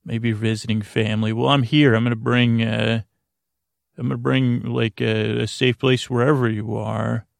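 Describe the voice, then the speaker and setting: A man with a gravelly, creaky voice delivers a soothing bedtime monologue as a podcast host. His pitch stays quite flat while he meanders gently, doubling back and stumbling over words. The sound is close and dry. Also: moderate pace, dry recording. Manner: meandering, hesitant, often repeats and trails off mid-word; soothing, lulling. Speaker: host and creator of a sleep podcast. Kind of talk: rambling bedtime monologue, talking gently to the listener.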